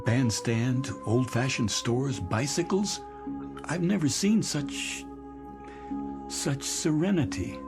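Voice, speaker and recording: An American actor playing a middle-aged, disillusioned executive in a radio drama, halting and repeating himself as he speaks. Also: medium pitch. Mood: wistful, dreamy. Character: weary, disillusioned married male executive, dreamy and self-deprecating. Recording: music bed under the dialogue.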